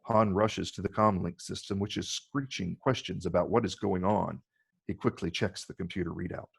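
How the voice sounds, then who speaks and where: A man with a gravelly, husky voice reading scripted screenplay narration. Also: deep voice, normal speed. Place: virtual call from separate location.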